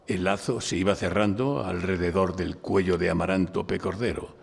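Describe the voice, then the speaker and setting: Composed clever lawyer telling his case, spain accent, gravelly, stagey audio drama, big echoey hall. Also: low-pitched, steady tone, normal speed, exaggerated voice. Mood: measured narration. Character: shrewd, composed male defense lawyer narrating his own case. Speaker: narrator and lead actor in a live audio drama. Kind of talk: courtroom audio drama with hardboiled first-person narration. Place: recorded live before an audience in a library. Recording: live stage recording in reverberant hall.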